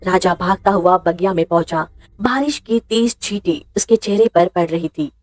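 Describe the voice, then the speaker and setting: A woman's voice: fairly low pitch, moderate pace, a little mellow, no echo, rough recording. A woman narrating a scripted story in a dramatic storytelling style.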